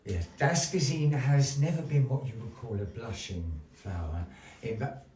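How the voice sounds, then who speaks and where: A man acting as master of ceremonies at a live show, polite and halting, with a mock-flustered theatrical patter. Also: medium pitch, big pitch swings, darkish tone. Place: indoor gallery performance space. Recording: roomy live venue, distant mic, faint noise.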